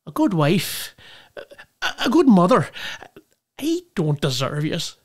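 Boozy Irish wanderer, colloquial and nostalgic, stage monologue with animated, wide-ranging pitch. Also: female voice, slow, heavily acted. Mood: wistful, tender. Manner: wistful, colloquial rambling with bursts of playful mimicry. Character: boozy, nostalgic Irish drinker nicknamed the captain, rambling home. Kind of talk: theatrical dramatic monologue.

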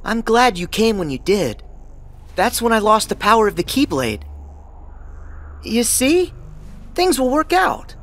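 Eager, lively teenage boy hero. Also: high voice, unhurried, long pauses, full character voice. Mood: warm, hopeful. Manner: earnest, eager, boyish. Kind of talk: English video game voice acting.

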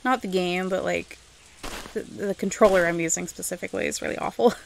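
A woman's voice: lowish voice, brisk, vocal fry, slight background noise, rough recording. A woman giving casual let's-play commentary as she games, rambling and thinking aloud to herself. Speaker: video game streamer narrating gameplay.

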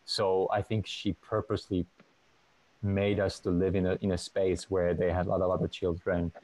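A man in his thirties who grew up in Finland, a guest talking on a video call at home, halting with many restarts. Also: deep voice, flat delivery, a little mellow, no room sound, low-quality recording. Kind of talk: casual video call chat. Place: home room.

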